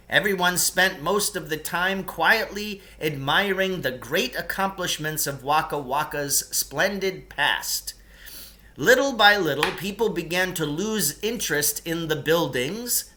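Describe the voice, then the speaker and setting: Male storybook narrator, bright and forward, a touch high in pitch, expressive and emphatic. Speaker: reader of a children's novel. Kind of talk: storybook read-aloud narration.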